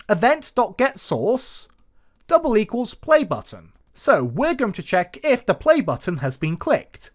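Coding screencast presenter, very animated intonation, explains each step as it is typed. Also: mid-range voice. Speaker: programming tutorial presenter. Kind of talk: explanatory screencast tutorial, narrating code aloud.

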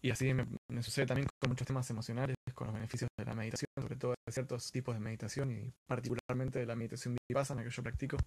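An Argentine man hosts a live stream in a casual, earnest way. His voice has a middle pitch. He speaks informally and leans on repeated words to drive points home. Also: flat delivery, very fast, hardly any pauses, a little mellow, slight background noise. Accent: Argentine Spanish.

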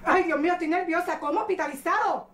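An actress playing a distraught young wife who has just learned her husband was shot, talking fast through tears. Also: very high-pitched, fairly bright tone, reverberant, slight background noise. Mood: frantic. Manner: frantic, pleading, sobbing. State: crying.

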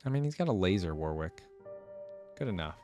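A man's voice: deep voice, sing-song, fairly quick, a little mellow, soft background music. A young man giving casual, rambling reaction commentary as host, close to a desk microphone. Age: young adult. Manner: rambling, informal. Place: indoor room at a desk. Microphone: close desk microphone, headset worn.

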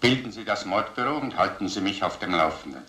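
An actor playing a composed, genial police commandant, courteous and official, in an old crime drama. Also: male voice, deep voice, expressive, fast talker, bright, forceful tone. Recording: roomy old soundtrack, some noise.

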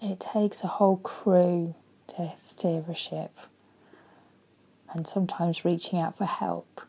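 A woman in her forties, a life coach, speaking earnestly and softly into a webcam in her living room, often dropping to a breathy near-whisper. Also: on the low side, fairly even intonation, slow pace, poor audio. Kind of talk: motivational vlog talk.

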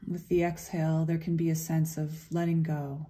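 A woman leading a guided meditation in a gentle, unhurried, soothing way, leaving long pauses between phrases. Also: deep voice, little pitch movement.

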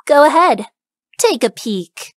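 A woman's voice: high-pitched, big pitch swings, slow pace, no room sound, clean studio sound, full character voice. A woman voicing a brash, cocky young treasure hunter in a visual novel, speaking bluntly. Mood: smug. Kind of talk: visual novel character voice acting.

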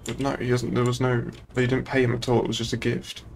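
A man's voice: mid-range voice, completely flat delivery, quick pace, gravelly, a little hiss or hum. A young British man chatting casually and informally while roleplaying as a moderator in an online game. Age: young adult. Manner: informal, sweary. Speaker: streamer, server moderator.

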